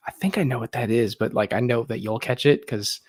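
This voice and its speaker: A man's voice: mid-range voice, brisk, breathy edge, no echo. Hesitant man, dry close sound. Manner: hesitant, repeats words, laid-back. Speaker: podcast guest. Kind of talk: casual chat.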